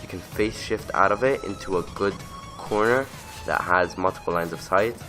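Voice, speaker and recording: Low-voiced man with a cold, talking over gameplay at home, loose and self-correcting, music playing behind. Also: moderate pace, sharp tone. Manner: rambling, self-correcting, casual swearing. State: voice rough from a lasting cold. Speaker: gaming channel host. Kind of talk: casual gameplay commentary. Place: bedroom at home.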